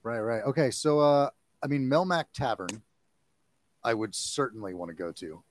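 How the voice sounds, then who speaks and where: A man in his forties, a guest actor in a casual chat, talking in an animated, jokey way. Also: medium pitch, slight background noise. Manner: animated and jokey, quick to laugh. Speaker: guest, actor and audiobook narrator.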